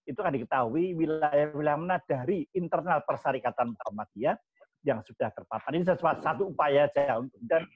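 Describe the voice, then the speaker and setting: A man explaining things in a measured way as a guest speaker at an online forum. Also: mid-range voice, husky, no echo. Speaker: guest speaker, disaster-response organization leader. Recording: dull, lo-fi online meeting audio.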